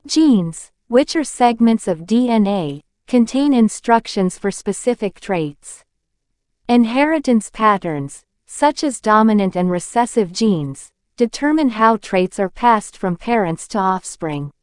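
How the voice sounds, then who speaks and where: A woman reading practice passages aloud as scripted narration, with careful, measured enunciation. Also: medium pitch, animated, a little mellow, clear, resonant tone, no room sound, clean studio sound. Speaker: exam practice reader.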